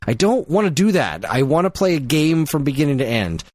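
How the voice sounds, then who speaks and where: A man's voice: a little high, big pitch swings, brisk, darkish tone, no room sound. Heated, opinionated male podcast host. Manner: opinionated, heated, swears freely. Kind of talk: casual chat.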